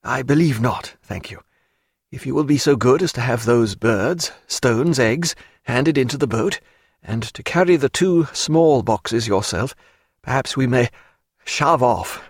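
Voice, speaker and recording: A man playing a weary, courteous but testy gentleman castaway in an audiobook, with formal, dry phrasing. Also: medium pitch, lively intonation, a little slow, no echo. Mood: weary, polite. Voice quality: croaky when weak. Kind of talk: audiobook character voice.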